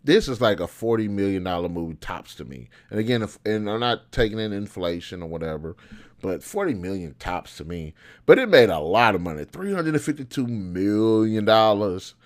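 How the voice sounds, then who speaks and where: A man's voice: on the low side, sing-song, moderate pace. A man hosting a show, giving casual, opinionated commentary in slangy, informal speech as he thinks aloud. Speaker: host of a show on video game movies.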